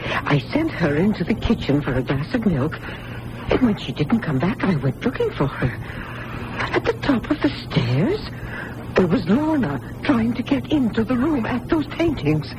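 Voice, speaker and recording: Casting: actress for steadfast, worried aunt, mid-pitched and expressive, radio drama, noisy broadcast tape. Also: female voice, normal speed, lightly acted. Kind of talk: 1980s radio drama acting.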